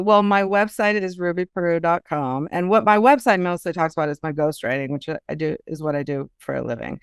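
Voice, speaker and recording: A woman's voice: lowish voice, smooth, dry recording, studio quality. A woman talking casually as a guest author in an interview, thinking aloud in a chatty, hesitant way.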